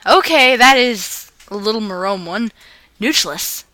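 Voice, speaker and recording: Girl reading fanfic out loud, bright voice, kinda playful with the dialogue, dry close sound. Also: medium pitch, animated, unhurried, no echo, low-quality recording. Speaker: fan fiction reader and video host. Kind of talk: dramatized reading aloud of fan fiction.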